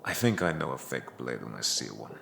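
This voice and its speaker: An actor playing a gruff, dry and knowing police chief in a dark fantasy audio drama, curt and condescending. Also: male voice, very deep voice, big pitch swings, brisk, a little hiss or hum, exaggerated voice.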